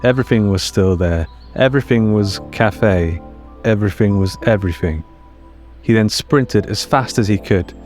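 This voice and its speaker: Low-voiced man narrating a story. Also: moderate pace, darkish tone. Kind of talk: scripted fiction narration, audio storytelling. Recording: music under the narration.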